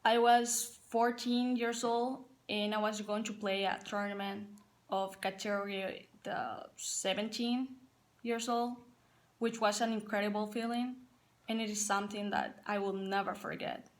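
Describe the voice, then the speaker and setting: A woman's voice: medium pitch, fairly even intonation. Young Paraguayan woman, earnest speech. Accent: Paraguayan Spanish. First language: Spanish. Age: young adult. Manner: earnest, careful and measured, with some non-native phrasing. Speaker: college soccer player. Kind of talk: prepared personal speech.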